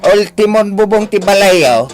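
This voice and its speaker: Scolding old Ilocano father, high-pitched. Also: lively intonation, a little slow. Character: scolding, plain-spoken old father, exasperated with his son. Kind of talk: Ilocano radio drama acting.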